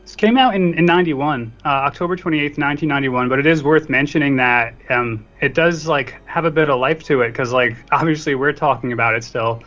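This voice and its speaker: A man's voice: medium pitch, fairly quick, hardly any pauses, a bit bright. A man chatting casually as a guest on a podcast. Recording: dull lo-fi mic, faint music behind.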